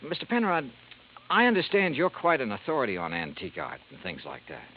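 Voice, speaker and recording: An actor playing a wry, cool insurance investigator who narrates and questions people in a dry, laconic way, in a 1950s radio drama. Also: male voice, mid-range voice, huge pitch swings, a little fast. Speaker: narrator and lead detective. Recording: tinny lo-fi old radio broadcast with faint hiss.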